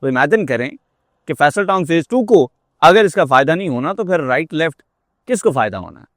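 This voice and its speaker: A man's voice: fairly high pitch, big pitch swings, no room sound, studio quality. A man with a Pakistani accent giving a persuasive market update as a real estate marketer, explaining emphatically and dropping in English phrases.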